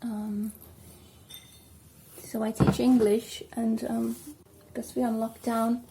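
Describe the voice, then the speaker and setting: A South African woman chatting casually at home while doing her hair, rambling and filling pauses with ums. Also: fairly high pitch, little pitch movement, noisy background. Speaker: vlogger. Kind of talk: casual hair tutorial chat.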